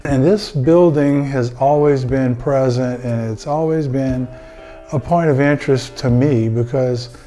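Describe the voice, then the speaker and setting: Casting: male local owner from Louisiana, warm-toned voice, casual reminiscing over light background music. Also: mid-range voice, measured pace. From Louisiana, United States. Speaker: inn owner being interviewed.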